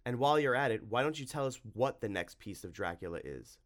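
A man's voice: medium pitch, animated, a little fast, pitched above the performer's own voice, lightly acted. A man voicing a stern, earnest monster-hunting hero in a storybook, grave and commanding. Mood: demanding.

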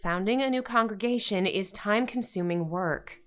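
A woman's voice: medium pitch, moderate pace, fairly bright tone, lightly acted. Casting: woman to play patient but tired mum in middle age, mid-range voice, careful and firm, audio drama. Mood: weary, firm. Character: patient, weary middle-aged American mother, gently firm. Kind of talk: naturalistic modern audio drama.

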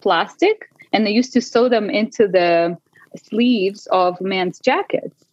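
A woman's voice: mid-range voice, takes it slowly, no echo. Woman on a dull remote line. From Russia. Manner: animated, laughs often. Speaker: podcast guest. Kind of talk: casual interview storytelling. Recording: dry, dull lo-fi call audio.

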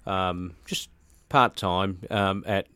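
An Australian man in his forties, a musician chatting as an interview guest, halting with fillers and restarts. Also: sits low, steady tone, sharp tone, clear, resonant tone, no room sound. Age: 40s. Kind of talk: casual interview talk.

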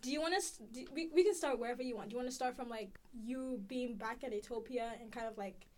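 A young woman with a fairly high voice works as a podcast co-host, interviewing casually. Some noise sits in the recording. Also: fast talker.

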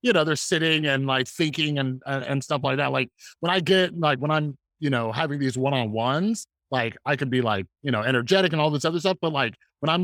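An energetic male podcast host with a mid-range voice, recorded close and dry. He chats casually and loosely, circling through his thoughts with plenty of filler words as he works toward his questions. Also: medium pitch, no room sound. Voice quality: loud voice. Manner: rambling, filler-heavy, energetic. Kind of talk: casual conversational interview.

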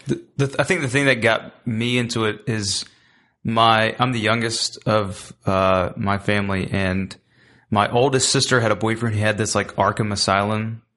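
A man's voice: fairly low pitch, fairly even intonation, moderate pace, a bit bright. A man guest hosting a podcast, telling a story hesitantly with frequent restarts. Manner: hesitant, restarts often while recalling. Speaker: guest host. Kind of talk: casual chat.

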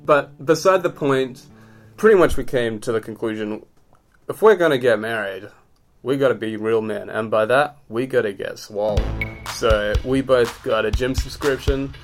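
Man with an average-pitched voice, needling comic podcast banter, music clearly playing behind. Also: moderate pace. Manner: teasing, needling. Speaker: podcast co-host.

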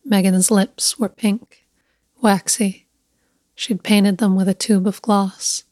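Even-toned woman narrating a story. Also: mid-range voice, takes it slowly, dry recording, studio quality. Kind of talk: scripted literary story narration.

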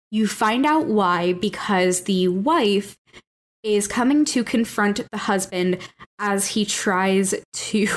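A woman co-hosting a podcast, chatting enthusiastically and swearing casually. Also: medium pitch. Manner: enthusiastic, swears freely, laughs often. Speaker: podcast co-host.

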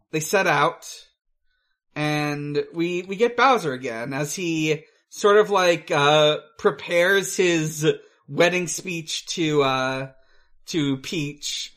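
Male podcast co-host, fairly high voice, slow pace, casual recap delivery with hesitant searching. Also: fairly bright tone. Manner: halting, hesitant, searching for words. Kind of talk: casual film recap chat.

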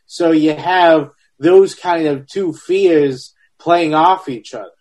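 Slightly higher-voiced man in his forties. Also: slow, a little mellow. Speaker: podcast panelist. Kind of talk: casual film discussion.

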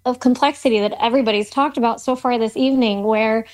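A woman's voice: on the high side, steady tone, fast, a little mellow. A woman talking in an upbeat, chatty way in a casual call-in group discussion. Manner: upbeat, chatty, many fillers. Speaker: call-in participant.

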